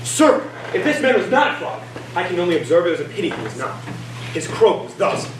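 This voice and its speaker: Echoey distant stage recording, woman acting boisterous pompous party guest, swooping pitch, theatrical stage comedy. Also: very animated, fairly quick, background noise. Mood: excitable. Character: boisterous asylum dinner guest telling absurd patient tales.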